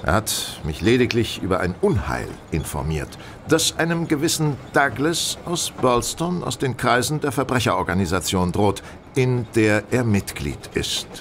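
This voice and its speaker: Radio play lead: male sleuth, medium pitch, precise and formal, very varied melody, slight rumble underneath. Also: expressive, moderate pace, a bit bright. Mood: insistent. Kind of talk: German radio play dialogue. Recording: train rumble behind the voice.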